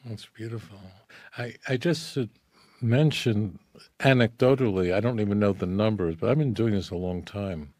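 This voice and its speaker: A man's voice: on the low side, normal speed, darkish tone, no room sound. A man serving as the rabbi hosting the call, speaking in a reflective, conversational way. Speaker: rabbi and host. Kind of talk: conversational commentary.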